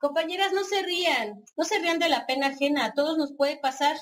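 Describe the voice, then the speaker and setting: Actress playing a high-voiced, bossy Mexican organizer. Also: female voice, brisk, close to an everyday voice. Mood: firm, urging. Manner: exhortative, scolding, commanding. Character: earnest, bossy women's political organizer leading a meeting. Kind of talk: scripted radio drama acting.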